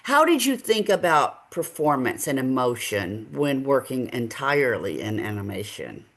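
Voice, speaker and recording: A woman interviewer speaks in a low voice. Her intonation moves around in a lively way as she puts questions to her guests. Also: normal speed.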